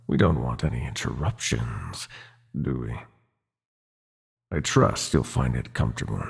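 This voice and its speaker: A man playing a sinister, seductive nightmare god in a roleplay audio drama, gloating and possessive, with a slow theatrical, teasing drawl. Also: a bass voice, creaky, a little mellow, husky, full character voice. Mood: silky, possessive. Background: music.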